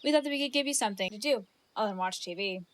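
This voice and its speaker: A woman's voice: mid-range voice, racing, fairly bright tone, close to an everyday voice. A woman playing a friendly, coaxing young girl in an audio drama.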